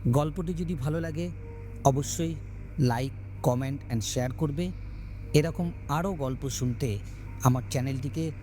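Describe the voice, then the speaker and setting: A man narrating a scripted horror story in a dramatic, suspenseful way with deliberate pauses. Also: fairly high pitch, warm tone. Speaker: storyteller, channel host. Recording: background music under voice. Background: music.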